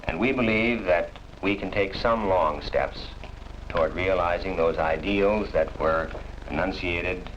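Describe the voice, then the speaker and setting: Very deep man, formal address, muffled film. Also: a little slow, fairly bright tone. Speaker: university program director. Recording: muffled, noisy 1961 film soundtrack.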